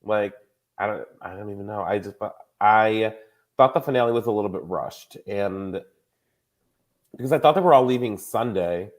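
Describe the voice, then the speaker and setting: A man in his late thirties with a fairly low voice, hosting a casual podcast. He chats loosely and quickly strings thoughts together with lots of filler words. His tone stays relaxed and conversational. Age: 30s. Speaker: podcast host. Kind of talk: casual chat.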